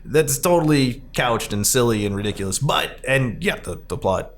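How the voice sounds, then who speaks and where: A man hosting a casual podcast discussion about a film, talking in an animated, rambling way. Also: medium pitch, normal speed, a little hiss or hum. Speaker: podcast host.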